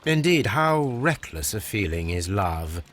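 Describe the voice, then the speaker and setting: An actor playing a cool, shrewd Victorian detective in a video game, speaking formally and incisively. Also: male voice, fairly low pitch, sing-song, unhurried, fairly bright tone, slight background noise. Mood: coolly accusing. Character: cool, shrewd Victorian male detective, self-assured and probing. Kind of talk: video game cutscene acting.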